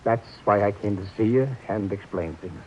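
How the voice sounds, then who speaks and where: A man's voice: moderate pace, a little hiss or hum, close to an everyday voice. A man playing a meek, guilty old horse trainer. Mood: pleading. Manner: earnest, pleading, jumpy pitch. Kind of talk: 1940s radio acting. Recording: dull lo-fi old radio broadcast.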